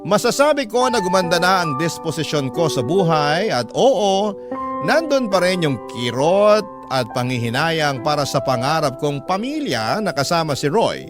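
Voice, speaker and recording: A man's voice: a little high, sing-song, moderate pace. A man playing a heartbroken young parent, narrating a painful breakup in an earnest, emotive storytelling way. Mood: reflective, dramatic. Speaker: radio drama narrator. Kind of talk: first-person dramatic story narration in letter form. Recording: music bed under the voice. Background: background music.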